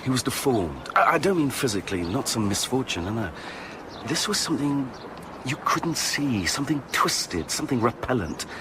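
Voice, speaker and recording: An actor playing an earnest, indignant, uneasy Victorian gentleman with an English accent in a radio drama, emphatic and sometimes hesitant. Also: medium pitch, big pitch swings, normal speed, noisy background, close to an everyday voice. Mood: troubled.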